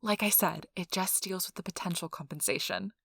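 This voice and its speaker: A woman playing a friendly, chatty intake interviewer in a soft ASMR role-play, explaining things politely and informally. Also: lowish voice, brisk, gravelly, dry recording, clean studio sound. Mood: reassuring, chatty. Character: friendly, chatty female intake interviewer at a vampire blood donation center.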